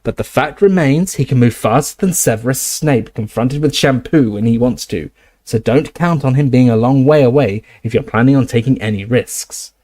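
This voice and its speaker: A man's voice: mid-range voice, normal speed, darkish tone, lightly acted. Brief: joking young radio guest, wry and playful, a man reading the part. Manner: wry and jokey.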